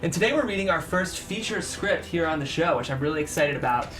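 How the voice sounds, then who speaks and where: A man's voice: fairly high pitch, lively intonation, fast, a bit bright. A man hosting and narrating a screenplay table read, presenting the script to an audience. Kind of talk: show presenting and scripted stage-direction narration.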